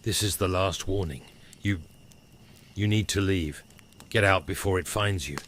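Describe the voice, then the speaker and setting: A man's voice: deep voice, a little slow, a bit bright. A man voicing his own frightened future self leaving ominous warning voicemails in a scary story. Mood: fearful warning. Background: crackling fire ambience.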